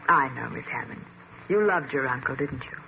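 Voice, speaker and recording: A woman playing a tactful, quick-witted female detective's assistant with an American accent, polite and coaxing, in 1940s radio acting style. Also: very deep voice, expressive, creaky, sharp tone, heavily acted. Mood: gently coaxing. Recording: muffled, hissy old radio recording.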